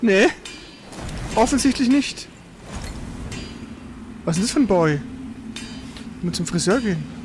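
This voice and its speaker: A man with a high voice gives casual gameplay commentary. He thinks aloud informally, as if to friends, over faint background noise. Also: lively intonation, slow pace, long pauses. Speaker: video game streamer.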